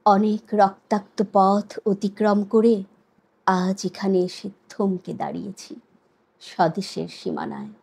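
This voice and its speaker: A woman reciting poetry expressively, with a measured, solemn, dramatic delivery and deliberate pauses between phrases. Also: mid-range voice, vocal fry, darkish tone, slightly rough.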